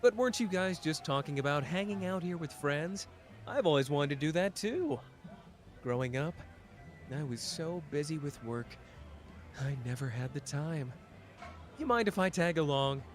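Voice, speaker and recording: A man's voice: a little high, expressive, normal speed, faint music behind, natural voice. An actor playing a smug, teasing young man who mocks his rival with a sing-song manner in an audio roleplay. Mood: playful. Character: smug teasing young male mafia-hunter, playful and manipulative. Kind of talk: ASMR roleplay acting.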